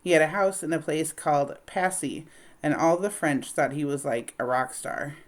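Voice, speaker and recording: A woman's voice: low voice, normal speed, natural voice. A woman reader plays a bookish young girl from a storybook. She explains and persuades earnestly, with a nervous, anxious edge. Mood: explaining, eager.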